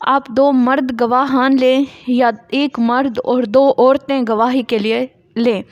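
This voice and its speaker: A woman with a Pakistani accent giving an academic law lecture, reading out provisions deliberately and explaining them as a teacher would. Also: high voice, steady tone, no echo. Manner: slow, deliberate English reading alternating with quicker Urdu explanation. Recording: muffled, dull lo-fi audio.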